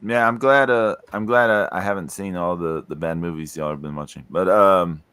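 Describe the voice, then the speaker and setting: A man co-hosting a podcast, chatting in a laid-back way about movies, his sentences trailing off quietly. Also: low voice, moderate pace, clear, resonant tone. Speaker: podcast co-host. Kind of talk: casual movie chat.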